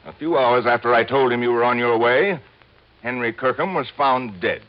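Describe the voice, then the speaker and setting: An actor playing a pompous, impatient insurance executive in an old-time radio drama, demanding and fussy in manner. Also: male voice, mid-range voice, normal speed, natural voice. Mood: irritated, accusing. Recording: muffled vintage radio recording.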